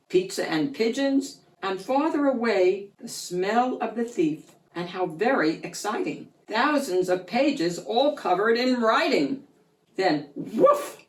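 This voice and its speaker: A woman reading a rhyming picture book aloud for children, in an expressive, sing-song way. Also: mid-range voice. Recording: roomy echo, distant mic.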